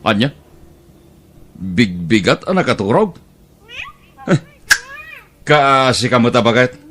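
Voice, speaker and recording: A man playing a compassionate, outspoken villager in an audio drama, talking in an expressive, chiding way. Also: medium pitch.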